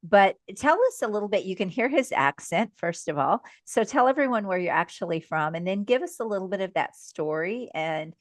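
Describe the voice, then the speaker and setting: A woman's voice: mid-range voice, animated, fairly quick, hardly any pauses, no room sound, clean studio sound. A woman hosting an interview podcast who sounds upbeat and encouraging and affirms her guest often. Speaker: podcast host.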